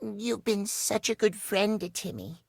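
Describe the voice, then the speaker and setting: An actor voicing a cute, excitable, dramatic little video game character who gushes about himself in the third person. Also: male voice, sits high, measured pace, vocal fry, dry recording, full character voice. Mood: delighted. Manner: refers to himself in third person, gushing, theatrical. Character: cutesy, excitable, dramatic household-object creature speaking of himself.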